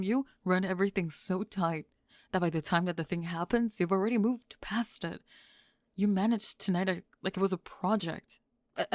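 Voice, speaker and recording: A woman playing a blunt, perceptive teenage best friend in an ASMR roleplay, speaking in a calm, measured, frank way. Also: mid-range voice, dry recording, clean studio sound, close to an everyday voice. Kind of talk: ASMR roleplay audio drama.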